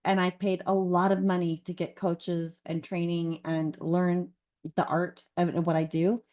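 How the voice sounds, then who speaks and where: A woman's voice: fairly low pitch, flat delivery, a little slow, darkish tone. Gushing woman on a video call. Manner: chatty, gushing, laughs between thoughts. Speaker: partner and guest on a call. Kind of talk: casual testimonial. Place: car.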